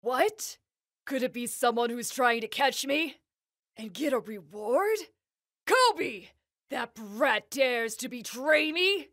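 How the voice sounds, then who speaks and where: Casting: haughty pirate queen, sharp forceful high voice, vain bully, cartoon dub delivery. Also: lively intonation, takes it slowly, full character voice. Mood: suspicious, angry. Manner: haughty, commanding, threatening. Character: imperious, vain, bullying lady pirate captain. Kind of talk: anime-style manga dub acting.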